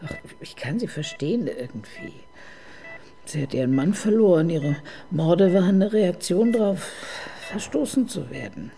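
An actress in a radio crime play voicing a quick, questioning female police detective. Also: fairly low pitch, lively intonation, vocal fry, warm tone. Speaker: detective inspector. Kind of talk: radio crime drama acting. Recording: radio play with faint music.

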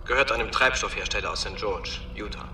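Radio drama: businesslike detective, factual delivery, echoing, noisy remote sound. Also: male voice, lowish voice, fairly quick, sharp tone. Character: formal, factual male investigator reporting findings. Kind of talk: German radio drama acting. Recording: echoey distant voice, clear noise.

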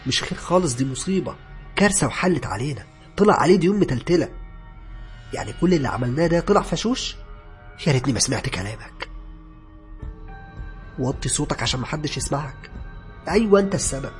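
A panicked middle-aged Egyptian woman, played by a man rants and accuses in a high, agitated voice. She talks very fast, with faint music behind. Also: expressive, lightly acted. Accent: Egyptian Arabic.

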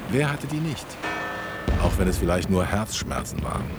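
Loud music plays behind a low-pitched older man in a radio drama. He is an aging dentist, sardonic and nostalgic, dropping wry, laconic remarks to his old drinking companion. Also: animated, moderate pace. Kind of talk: German radio play dialogue.

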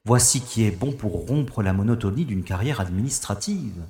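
An actor playing a fussy, affable prison director in an audio drama, speaking formally with courteous condescension. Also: male voice, lowish voice, expressive, moderate pace, mellow, husky, no echo, studio quality, heavily acted. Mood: excited. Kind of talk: French audio drama acting.

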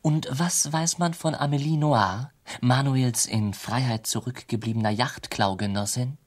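An actor playing a wry, pompous commentator in a radio drama, speaking in florid, mock-formal, ironic phrases. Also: male voice, medium pitch, measured pace, natural voice. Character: wry, pompous male commentator of worlds, addressing listeners.

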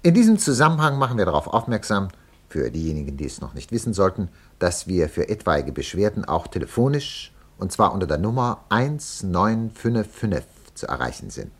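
An actor playing a pompous, overly polite 1950s radio announcer in a comedy, mock-solemn and husky. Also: male voice, low-pitched, big pitch swings, normal speed, a little hiss or hum. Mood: formal.

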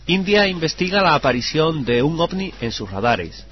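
A man's voice: on the high side, sing-song, moderate pace, slight background noise. A man reading scripted news items on a radio show. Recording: dull radio sound with music. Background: background music.

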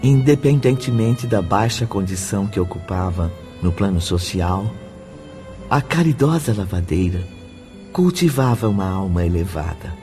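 A man's voice: fairly low pitch, darkish tone. A man with a Brazilian accent giving solemn, formal scripted narration for a radio soap opera.

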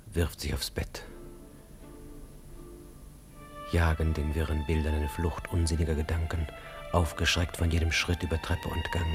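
A man narrating a radio drama in a terse, intense, dramatic style, hushed at times. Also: extremely low pitch. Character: tense storyteller of an officer's ordeal. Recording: music behind the voice.

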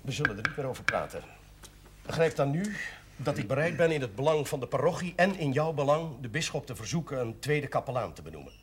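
An actor playing a genial, authoritative parish priest, paternal and persuasive, in a 1970s Dutch television drama. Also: male voice, mid-range voice, big pitch swings, fast talker, fairly bright tone, a little hiss or hum. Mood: firm, persuasive.

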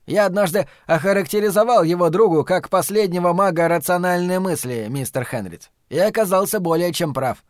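A man voicing an older academic in an audiobook, calm and wry, speaking with courteous, articulate persuasion. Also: high voice, fairly quick, few pauses, no echo, clean studio sound, voice pushed up, lightly acted. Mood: earnest, urging.

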